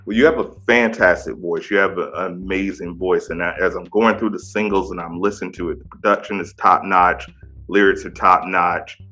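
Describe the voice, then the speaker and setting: A man with a very low, deep voice and a bright, forceful tone. He hosts a relaxed music interview and speaks with eager enthusiasm. Faint music plays in the background. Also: normal speed. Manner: enthusiastic, effusive praise. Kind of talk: casual interview.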